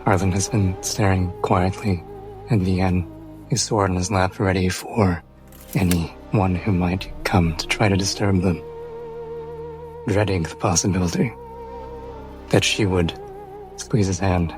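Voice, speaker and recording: A man with a deep voice solemnly narrates his character's actions in a tabletop game. Music is clearly heard behind him. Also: steady tone, measured pace, pauses often.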